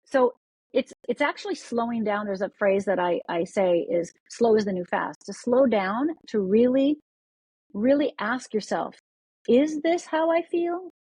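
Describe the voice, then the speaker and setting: A woman's voice: a little high, lively intonation, a little slow, a little mellow, husky, dry recording. A woman from Upper Michigan, a podcast guest, talking with energy and often repeating words as she restarts sentences. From Upper Michigan, USA. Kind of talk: podcast interview.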